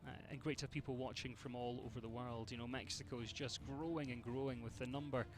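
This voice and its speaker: A man's voice: medium pitch, steady tone, quick pace. Chatty Scottish man commentating show jumping. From Scotland. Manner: chatty, filler-heavy, greets viewers by name.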